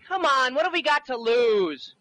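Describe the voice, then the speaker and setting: Played by a man, a self-proclaimed genius inventor talks in an extremely high, piping voice. He stammers and sputters whenever he is cornered. The tone is bright and thin, and the whole thing has the feel of a home-taped comedy sketch. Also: sing-song, moderate pace, no room sound, full character voice. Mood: eager. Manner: sputtering, stammering, easily flustered.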